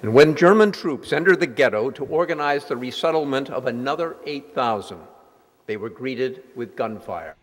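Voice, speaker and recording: A man reading scripted dramatic narration in a solemn, measured manner. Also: mid-range voice, expressive. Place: large sanctuary hall before an audience. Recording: echoey hall with music behind.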